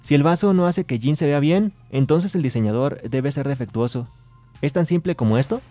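A man's voice: fairly high pitch, fairly quick, mellow, no echo, soft background music. A man hosting a scripted entertainment news show, reading reports and translated quotes in an upbeat announcer manner.

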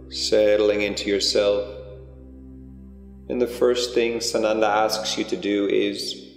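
A man leading a guided meditation, giving unhurried, hushed instructions with pauses between phrases. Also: fairly low pitch, creaky. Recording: long echoing reverb, music underneath. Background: music.

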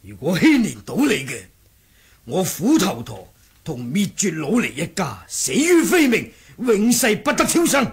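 An actor playing a cunning, composed martial monk in a 1980s radio drama, husky and deliberate in his sly bargaining. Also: high voice, wildly swooping pitch, heavily acted. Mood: earnest bargaining. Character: cunning martial monk who feigned muteness, scheming and composed.

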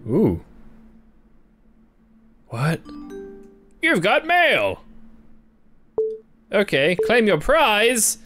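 A man's voice: sits high, huge pitch swings, extremely slow, pauses often, music in the background. A young man doing casual, playful let's-play commentary, reacting and reading game text aloud. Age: young adult. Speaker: gaming video host.

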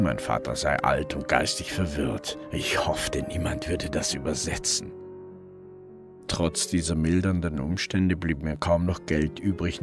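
Deep, dry-voiced detective son. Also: male voice. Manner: dry, restrained, patient. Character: sober, weary middle-aged son, police detective narrating his tale. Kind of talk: first-person narration and radio play dialogue.

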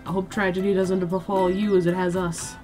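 A woman's voice: fairly low pitch, flat delivery, fairly quick, music in the background, lightly acted. A woman voicing a strong, grieving village father in a game, gloomy but proud. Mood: gruff, wistful.

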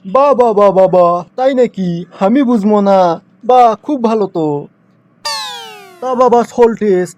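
Man voicing a sarcastic male schoolteacher, high-pitched, Bogura northern Bangladeshi accent, preachy scolding, cartoon dialogue, music behind. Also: a little slow, long pauses, dull audio. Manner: mock agreement, rhetorical questions, preachy. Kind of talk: comic cartoon dialogue turning into sermon.

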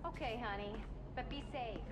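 Woman playing warm, higher-voiced mother. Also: moderate pace, faint music behind, natural voice. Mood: concerned. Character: warm, spirited middle-aged mother running for office. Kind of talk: naturalistic video game acting.

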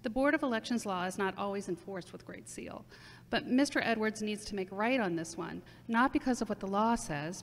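A woman's voice: mid-range voice, slight background noise, close to an everyday voice. A woman playing a solemn, composed city mayor speaking diplomatically in a radio interview, in an amateur audio drama. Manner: measured, diplomatic. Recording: reverberant hall-like sound.

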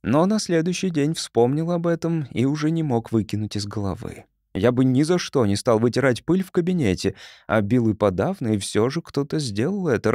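A man reading an audiobook story as narrator, in an expressive scripted style. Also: mid-range voice, no echo, studio quality.

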